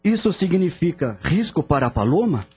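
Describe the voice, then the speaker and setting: A man's voice: on the high side, very animated, normal speed, husky, exaggerated voice. An actor playing an anxious male horse owner in a radio drama, with a Brazilian accent and emotional delivery. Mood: anxious, dismayed. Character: anxious male horse owner fretting over his mare. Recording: dull lo-fi radio drama with music.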